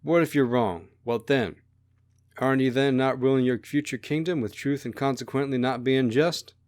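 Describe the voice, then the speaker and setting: A man's voice: mid-range voice, normal speed, darkish tone, lightly acted. Man voicing a blunt, argumentative republican. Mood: challenging. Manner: blunt, probing.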